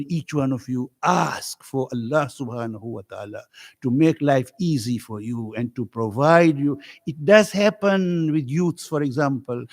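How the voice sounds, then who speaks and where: A man from Africa, a native Swahili speaker, preaching a religious sermon as a visiting scholar to a congregation, earnest and rising in pitch as he tells stories. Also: creaky.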